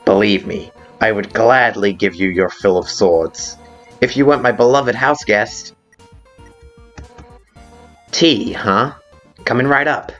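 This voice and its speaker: Game fan dub: brash female fish-warrior played by a man, emphatic, sarcastic, slower delivery, dull lo-fi sound. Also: fairly low pitch, fairly bright tone. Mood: strained hospitality. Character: fierce, brash female fish-warrior monster, grudgingly hospitable. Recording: muffled lo-fi audio with background music.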